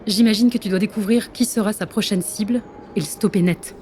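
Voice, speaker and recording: An actress playing a blunt but caring intelligence agent in an audio drama, frank and slangy. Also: female voice, mid-range voice, brisk, background noise, close to an everyday voice. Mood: frank concern.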